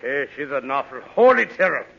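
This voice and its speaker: An actor playing a rough, folksy policeman with slangy, uneducated grammar, in 1940s radio comedy style. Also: mid-range voice, big pitch swings, fairly quick, a bit bright, heavily acted. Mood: harried, blunt. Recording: narrow-band 1940s radio.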